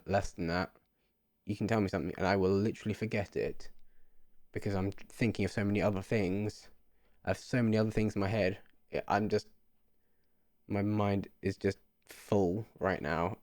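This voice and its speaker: A young man in his twenties speaks earnestly to the camera, wandering and repeating himself as he thinks aloud. His voice sits a little low, and the sound is dry and close with no room echo. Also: darkish tone. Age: 20s. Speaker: vlogger. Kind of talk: casual reflective monologue to camera.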